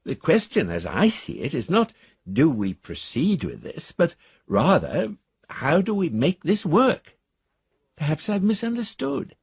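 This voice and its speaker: Husky, lilting genteel old Englishman. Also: on the high side, huge pitch swings, unhurried, no room sound, heavily acted. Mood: politely firm. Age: older. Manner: courteous, wordy, hesitant, musing. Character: genteel, bookish retired old man, politely stubborn. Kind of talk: radio drama, reading letters aloud. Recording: dry, slightly dull studio sound.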